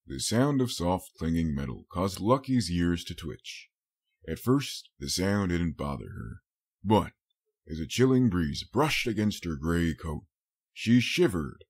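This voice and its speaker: A man's voice: low voice, very animated, unhurried, husky. A man reading a scripted story as an audiobook narrator.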